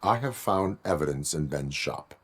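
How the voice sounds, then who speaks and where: A man voicing a stoic cyborg police officer in a video game, speaking in a formal, stilted, deadpan way. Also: rumbling low, moderate pace. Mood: stern, measured.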